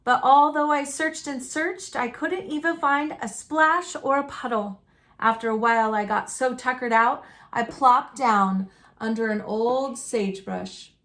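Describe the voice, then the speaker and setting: Female storyteller for a picture book read-aloud. Bright, forward tone, unhurried, folksy and expressive. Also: a little high. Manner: folksy, dramatic, deliberate delivery.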